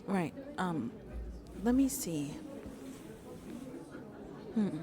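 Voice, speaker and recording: A woman playing a shy, sweet vampire on a first date in an ASMR roleplay, soft-spoken and hesitant, often dropping to a whisper. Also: lowish voice. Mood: friendly, nervous. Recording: crowd chatter in the background. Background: crowd chatter.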